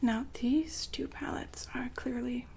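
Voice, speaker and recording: A woman playing the poised, businesslike madam of a futuristic establishment in an ASMR roleplay, whispering softly and formally to a client. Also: fairly high pitch, fairly even intonation. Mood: smooth, attentive. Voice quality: soft, breathy whisper. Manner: polite, formal, unhurried saleswoman patter.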